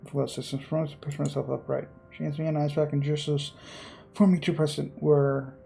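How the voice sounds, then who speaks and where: A man's voice: on the high side, level tone, fairly quick, gravelly, warm tone, slight background noise. A man hosting a game video, reading visual novel text aloud dramatically with casual commentary and some stumbles, game music behind him. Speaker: gaming video host.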